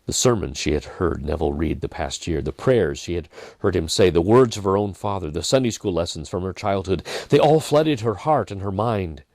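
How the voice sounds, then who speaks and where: A man reading a dramatic story in a measured manner, with deliberate pauses and softened phrases. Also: deep voice, lively intonation, dry recording. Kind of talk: dramatic scripted narration.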